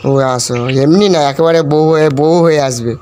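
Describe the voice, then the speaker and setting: Young man, slightly high rapid voice, teasing and insistent, noisy amateur audio. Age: young adult.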